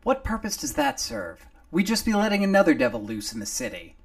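A man voicing an older, guilt-ridden male gangster with a gruff, confrontational way of talking. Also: on the high side, big pitch swings, a little fast, voice pushed up. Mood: tense.

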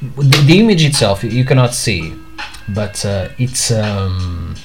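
A man's voice: fairly low pitch, a little slow. Hesitant man. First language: Portuguese. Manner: hesitant, many fillers and restarts. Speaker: podcast host. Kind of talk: rambling solo vlog. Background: faint music.

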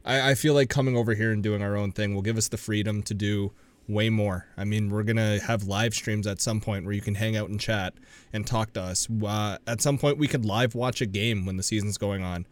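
A man's voice: lowish voice, steady tone, brisk. A young man co-hosting a podcast, chatting casually and throwing in dry joking asides. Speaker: podcast co-host.

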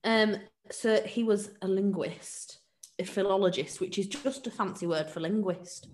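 A woman's voice: mid-range voice, measured pace. A woman co-hosting a casual podcast, telling a case from her notes in a joking, giggly way. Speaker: podcast co-host presenting a case. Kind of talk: casual true-crime podcast chat. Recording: roomy echo.

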